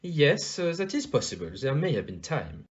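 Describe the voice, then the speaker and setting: A man playing a fussy, courteous middle-aged detective with a French accent and a theatrical, deliberate manner in an audio play. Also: fairly high pitch, big pitch swings, dull audio. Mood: measured, questioning.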